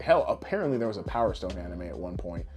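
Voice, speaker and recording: A man's voice: sits low, big pitch swings, fast talker. A man talking casually as a podcast host, opinionated and swearing freely. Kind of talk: casual pop-culture chat. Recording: background music under voice.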